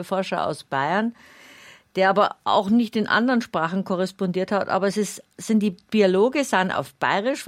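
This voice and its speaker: A woman's voice: medium pitch, no echo. A woman radio host leading an interview, conversational and asking the questions.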